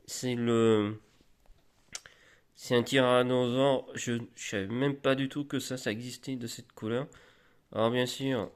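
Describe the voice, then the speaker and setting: A man with a slight cold, showing off flea-market finds in a rambling, halting, casual way, with many restarts. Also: medium pitch, fairly even intonation, clean tone. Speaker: collector vlogger. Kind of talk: casual show-and-tell.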